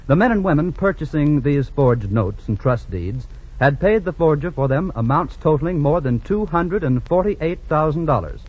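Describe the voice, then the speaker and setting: Formal american guy, old 1940s radio announcer reading sponsor copy, kinda husky, muffled sound. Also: fairly high pitch, animated. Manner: formal, measured. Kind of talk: 1940s scripted sponsor message. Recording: muffled vintage radio recording.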